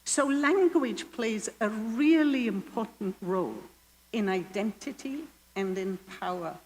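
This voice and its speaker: An older woman with a Welsh accent, leading worship and telling a story in an unhurried way with slow, emphatic phrases. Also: medium pitch, lively intonation, darkish tone. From Wales. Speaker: guest worship leader. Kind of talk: reflective sermon talk. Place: church sanctuary. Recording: long church reverb, faint hiss.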